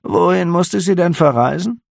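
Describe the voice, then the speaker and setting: A man's voice: high-pitched, expressive, normal speed, vocal fry, no echo, studio quality, pitched above the performer's own voice, full character voice. A man voicing a nervous, prying middle-aged innkeeper who talks in a hasty, giggly, placating way.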